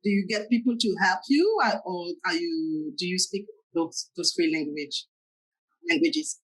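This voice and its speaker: Woman hosting, halting speech. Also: fairly low pitch, big pitch swings, slightly rough, rough recording. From France. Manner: halting, repeats words, many short backchannels. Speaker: show host. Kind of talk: casual interview hosting.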